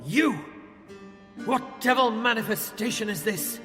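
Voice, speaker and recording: A man's voice: sits high, unhurried, bright, forceful tone. An actor playing an alarmed, melodramatic man in a radio drama, declaiming theatrically. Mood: horrified. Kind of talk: 1920s-set radio drama acting. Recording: long echoing reverb with music underneath.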